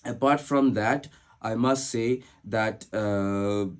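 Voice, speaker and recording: A man from India with a light Indian English accent mixed with American and British, talking through his thoughts in an unscripted monologue, pausing often on "uh" and restarting phrases. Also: fairly low pitch. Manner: thoughtful, with frequent "uh" pauses and restarts. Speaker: vlogger.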